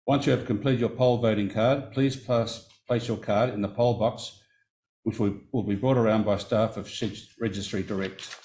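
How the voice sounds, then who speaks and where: A man with a lowish voice chairs a formal meeting in a meeting room, working steadily through resolutions. He reads long vote counts carefully and corrects himself when a figure slips. A faint room hiss sits under his voice. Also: steady tone, normal speed, darkish tone.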